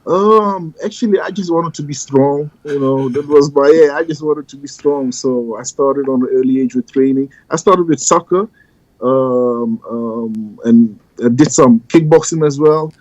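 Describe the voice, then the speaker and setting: A man raised in the Netherlands, talking as a bodybuilding guest in a halting way, full of "you know". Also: medium pitch, darkish tone, dry recording, lo-fi sound. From Ghana, raised in the Netherlands. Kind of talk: casual interview chat.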